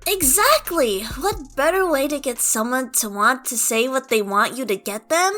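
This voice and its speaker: A woman voicing a cheeky, excitable young male bard from a fantasy game in a theatrical, chirpy manner, with music behind. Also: high-pitched, normal speed. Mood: emphatic.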